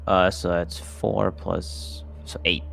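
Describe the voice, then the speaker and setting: A man's voice: low voice, little pitch movement, slow pace, vocal fry, a bit bright. A man talking casually as a player in a tabletop roleplaying game.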